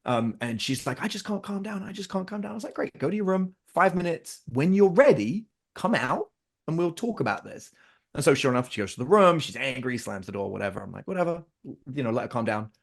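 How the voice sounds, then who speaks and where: Man, middle-aged, rapid talker, animated storyteller, acts out dialogue. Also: on the high side, vocal fry. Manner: animated, acts out his kids' and his own lines. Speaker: podcast guest. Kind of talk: storytelling in casual conversation.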